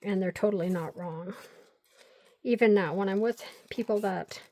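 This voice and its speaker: A woman's voice: medium pitch, normal speed, mellow, no room sound. An older woman hosting a crafting vlog, chatting and rambling through stories while she glues paper pieces. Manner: talks to herself while gluing. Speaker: crafting channel host.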